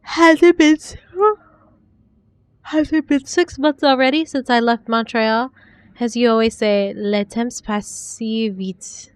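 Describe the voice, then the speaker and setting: A woman reading aloud as a young 1940s woman writing tender love letters, in a soft, heartfelt way. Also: high-pitched, steady tone, unhurried, long pauses, clean tone, dry recording. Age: young adult.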